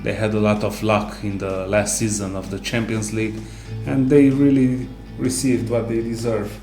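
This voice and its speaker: A man co-hosting a casual sports discussion, speaking haltingly with non-native English phrasing. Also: on the low side, level tone, darkish tone. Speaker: co-host. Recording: roomy echo, music underneath.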